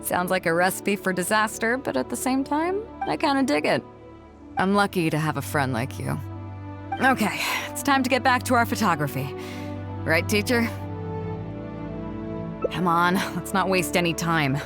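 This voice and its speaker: A confident, hearty female sea captain from a video game, acted by a woman, speaks at a middling pitch. She is proud and playful, with a theatrical swagger in her delivery. Music plays underneath her voice. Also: lively intonation, normal speed, pauses often, natural voice. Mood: warm, amused, then playful, impatient. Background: background music.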